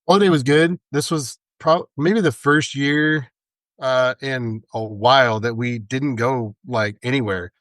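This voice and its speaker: Easygoing male co-host, hoarse from a cold, dry mic. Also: medium pitch, unhurried, a bit bright, no echo. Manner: agreeable, easygoing, filler-heavy. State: congested, raspy after a cold. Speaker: podcast co-host. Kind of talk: casual podcast chat.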